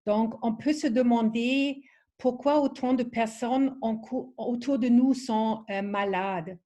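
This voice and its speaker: German-accented woman, slow and hesitant. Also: fairly high pitch, mellow. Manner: hesitant, many fillers and restarts. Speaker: presenter of a training webinar. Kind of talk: online training presentation.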